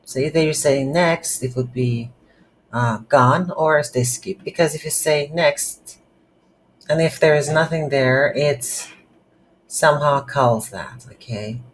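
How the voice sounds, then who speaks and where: Halting, slow-paced non-native coding instructor. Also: mid-range voice, a little hiss or hum, low-quality recording. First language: not English. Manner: halting, thinking aloud while typing. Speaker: programming tutorial instructor. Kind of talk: screen-recorded coding tutorial, unscripted explanation. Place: indoors at a computer.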